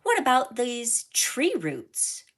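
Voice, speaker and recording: Woman as an earnest, expressive little storybook cricket. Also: on the high side, slow pace, pitched above the performer's own voice, exaggerated voice. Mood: pleading. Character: small, earnest, doubting young cricket with shifting shapes.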